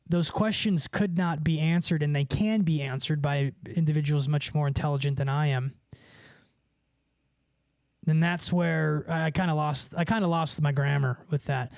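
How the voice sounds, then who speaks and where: A man's voice: fairly high pitch, darkish tone, no room sound. A man livestreaming, chatting casually with viewers in a rambling, profane, slangy way. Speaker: livestreamer and musician.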